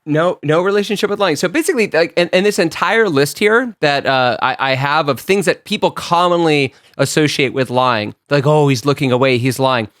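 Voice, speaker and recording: A man's voice: a little high, moderate pace, hardly any pauses, fairly bright tone, dry recording, clean studio sound. A man co-hosting a casual podcast, reading quiz items off a list and laughing easily. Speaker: podcast co-host running a quiz.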